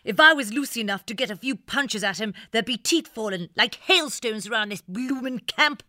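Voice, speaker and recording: A man's voice: very high-pitched, animated, normal speed, bright, forceful tone, dry recording, studio quality, pitched above the performer's own voice, full character voice. A man voicing a plucky young female hare with brash, old-fashioned slang. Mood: indignant. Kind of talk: audiobook character voice.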